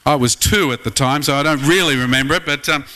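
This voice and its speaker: Middle-aged male pastor, high bright voice, chatty sermon, keeps asking the room questions. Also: fast. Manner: conversational, asks the congregation many questions.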